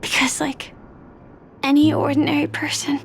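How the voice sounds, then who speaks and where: A woman playing a wistful, compassionate young woman in a video game, speaking softly and reflectively. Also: high-pitched, little pitch movement, moderate pace, vocal fry, a little hiss or hum. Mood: quiet grief. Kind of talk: soft dramatic video game acting.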